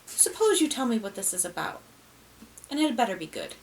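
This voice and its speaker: A woman voicing a brisk middle-aged medium in a storybook. She speaks in a cool, clipped, controlled manner. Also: fairly high pitch, big pitch swings, a bit bright, recorded from a distance, rough recording. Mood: cold. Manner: brisk, cold.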